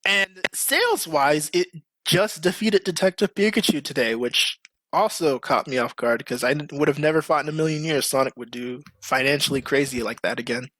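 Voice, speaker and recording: A man with a slightly high voice hosts a casual podcast chat, recorded dry and close. He speaks in a slangy, opinionated way. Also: moderate pace, fairly bright tone, no room sound. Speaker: podcast host.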